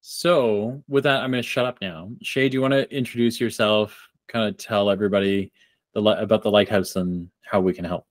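A middle-aged man hosting a casual chat over a video call from home, telling stories in an animated way. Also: lowish voice, a little fast, dry recording. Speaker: host. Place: home living room.

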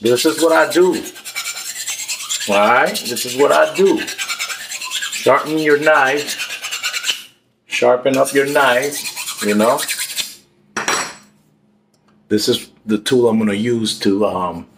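A man's voice: medium pitch, takes it slowly, vocal fry, long pauses. Boastful joking man in a noisy kitchen. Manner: boastful, joking, streetwise slang. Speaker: home cooking vlogger. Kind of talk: casual cooking vlog with banter. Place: home kitchen, indoors. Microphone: camera at counter level. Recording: noisy kitchen with chopping clatter.

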